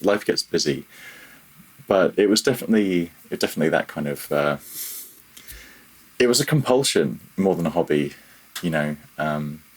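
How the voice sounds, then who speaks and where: Very low, husky-voiced man, thinking aloud. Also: rough recording. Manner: hesitant, self-deprecating, thinking aloud. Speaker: podcast guest, freelance audio developer. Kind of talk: casual interview chat.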